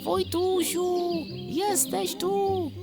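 A man voicing a curious, eager young male fox in a squeaky, singsong children's storybook voice. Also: flat delivery, very slow, gravelly, music in the background, heavily acted. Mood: calling out.

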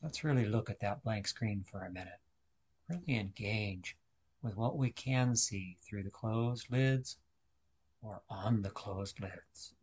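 A man leading a guided meditation, speaking deliberately in short instructional phrases with pauses. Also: fairly low pitch, breathy edge, highs cut off.

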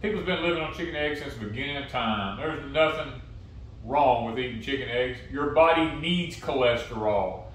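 A man's voice: medium pitch, normal speed, a bit bright, far from the mic, slight background noise. Folksy older man, echoey room. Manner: folksy, plain-spoken, opinionated. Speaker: homestead channel host. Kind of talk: casual homestead vlog. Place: home dining room, indoors. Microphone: camera mic from across the room.

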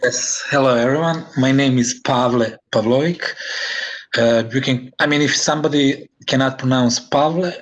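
A man's voice: medium pitch, normal speed, slight background noise, rough recording. A young man from Serbia with a Serbian accent, introducing himself as a student on a video call, hesitant with many fillers. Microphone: video call webcam.

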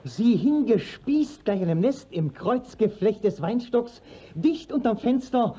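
An actor playing a deferential court clerk who speaks formally in a radio play. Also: male voice, sits high, expressive, measured pace, warm tone, slightly rough, heavily acted. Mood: deferential, pointed. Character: deferential court clerk giving damning testimony. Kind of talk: classic German radio play acting. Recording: dull old 1950s radio recording.

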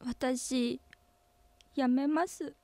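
Troubled female office employee, high voice, grave, hesitant, radio drama, dry close sound. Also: fairly even intonation, extremely slow, warm tone, no room sound, heavily acted. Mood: grave, resolute. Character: serious, troubled female office employee confronting her boss.

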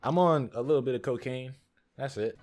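A man's voice: mid-range voice, a little fast, darkish tone. A young man, a YouTuber, joking with strangers on a video chat in mock-gangster bravado, recorded on a studio microphone in a small home room. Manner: playful, mock-gangster bravado with sudden mumbled asides. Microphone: studio microphone on a stand, headphones on.

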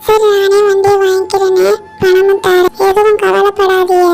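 A woman playing a kind, high-voiced pigeon. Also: little pitch movement, normal speed, darkish tone. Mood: concerned. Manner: concerned, reassuring. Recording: light music bed.

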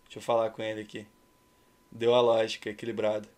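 A man's voice: on the low side, completely flat delivery, fast, a little mellow. A man in his thirties with a Brazilian accent, chatting casually and ironically as he hosts and commentates a game stream. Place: indoor room at home.

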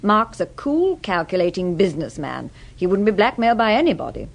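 A woman's voice: mid-range voice, big pitch swings, fairly quick, creaky. An actress playing a cool, haughty woman under questioning in a radio drama, clipped and dismissive. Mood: scornful. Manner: clipped, dismissive, self-assured.

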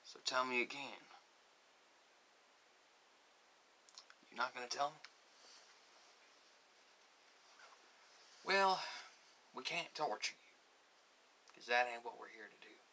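Sharp-voiced, stern army sergeant played by a man. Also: medium pitch, lively intonation. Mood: grudging, probing. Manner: halting, repetitive, drawling colloquial questioning. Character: stern, folksy male army sergeant interrogating a prisoner. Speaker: ASMR roleplay creator. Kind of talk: ASMR interrogation roleplay. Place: small cluttered room, indoors. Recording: hissy home recording.

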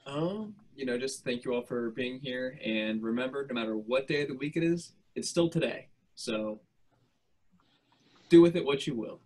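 A man's voice: medium pitch, moderate pace, a little mellow. A man chatting casually on a podcast video call, as the show's composer. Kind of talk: casual chat.

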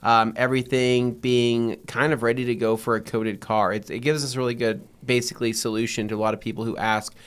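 A man in his thirties co-hosting a studio podcast, talking in a rambling, jokey and animated way. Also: medium pitch, brisk, hardly any pauses, clear, resonant tone. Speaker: podcast co-host. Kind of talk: casual chat. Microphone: desk broadcast mic.

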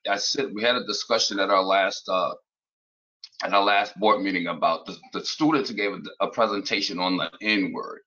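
A man making emphatic, deliberate, halting remarks at a meeting as a board chairman. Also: low-pitched, steady tone, pushed and bright. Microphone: video call. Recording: dull lo-fi video call audio.

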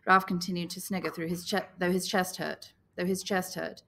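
Audiobook reader: woman, slightly low pitch with noticeable vocal fry, expressive teacherly storytelling. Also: normal speed. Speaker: English teacher reading a novel. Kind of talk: audiobook narration.

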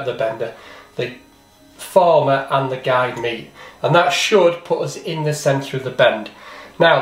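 A middle-aged man, a plumbing instructor, talks through a hands-on demonstration step by step in a plain, practical, self-deprecating way. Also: a little high. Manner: plain, practical, self-deprecating, thinks aloud while working. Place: small training workshop, indoors. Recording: echoey workshop with faint clatter.

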